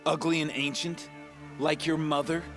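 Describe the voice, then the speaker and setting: A man's voice: a little high, level tone, moderate pace, music in the background, lightly acted. A man voicing a cheeky, hungry soldier bantering in a cinematic video game. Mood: teasing. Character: cheeky, ever-hungry soldier teasing his captain.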